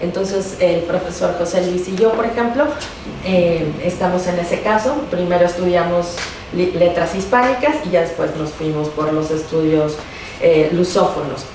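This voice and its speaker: Hesitant woman introducing a speaker. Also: lowish voice. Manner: hesitant, many fillers and pauses. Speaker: academic host introducing a speaker. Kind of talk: formal introduction. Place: university lecture hall. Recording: echoey hall, distant mic, background noise.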